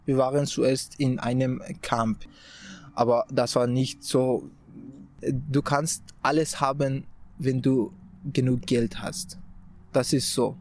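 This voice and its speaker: Slow-speaking young man from Afghanistan. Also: mid-range voice, a little mellow. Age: young adult. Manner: slow, searching for words, halting restarts. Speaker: interview guest. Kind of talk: personal storytelling.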